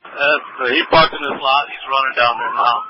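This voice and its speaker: A man's voice: medium pitch, steady tone, normal speed. A man speaking as a police officer in the field, calling in over a two-way radio. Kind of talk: live police radio traffic. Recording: muffled, noisy police radio transmission.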